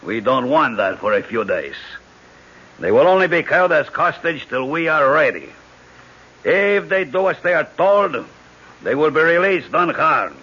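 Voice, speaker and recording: Menacing Latin American hijacker, slow and sharp. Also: male voice, on the high side, very animated, slightly rough, full character voice. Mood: cold, threatening. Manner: commanding, deliberate. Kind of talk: old radio drama acting. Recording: muffled, hissy old radio broadcast.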